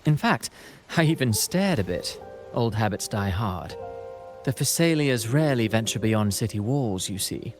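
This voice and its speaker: An actor playing a courteous, wordy playwright with eloquent, poetic and measured phrasing in a video game. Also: male voice, fairly low pitch, lively intonation, close to an everyday voice. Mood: courteous, musing. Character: courteous, wordy male playwright with a theatrical flair. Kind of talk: scripted game dialogue. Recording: music playing behind the voice.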